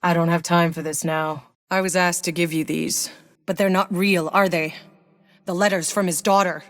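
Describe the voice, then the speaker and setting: Game lead: actress as battle-worn woman soldier, lowish pitch, sardonic, blunt, world-weary, naturalistic delivery. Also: level tone, normal speed, natural voice. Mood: dry, guarded. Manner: dry, blunt, clipped delivery. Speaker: video game player character. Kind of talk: naturalistic game character voice lines.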